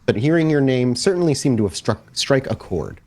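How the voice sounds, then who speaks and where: A man's voice: medium pitch, lively intonation, brisk, a little mellow. A man narrating a tabletop roleplaying game as storyteller, describing scenes and asking players questions.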